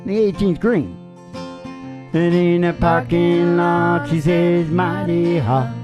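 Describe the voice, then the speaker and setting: A woman with a lowish voice and an unhurried pace hosts a homespun country music show. She is loose and jokey, rambling along in a casual way, with guitar music around her. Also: highs cut off. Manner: jokey, laughs through own remarks. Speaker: radio show host and country singer. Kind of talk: rambling casual banter between acoustic country songs.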